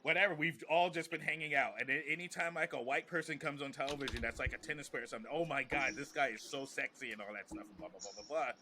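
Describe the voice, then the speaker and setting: A man's voice: medium pitch, fast talker, few pauses, bright, forceful tone, slight background noise. An American man talking casually and with strong opinions on a podcast, emphatic and repeating phrases as he builds a point. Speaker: podcast host, comedian.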